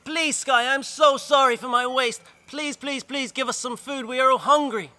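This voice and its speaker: A man voicing a frightened village woman who begs and pleads in a high, strained voice. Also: steady tone, normal speed, bright, forceful tone, heavily acted. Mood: pleading.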